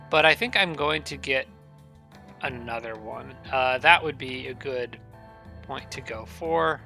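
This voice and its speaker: A man casually commentating a strategy game, thinking aloud and correcting himself, his voice a little raspy and nasal from allergies. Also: a little high, bright, forceful tone. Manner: thinking aloud, rambling with restarts.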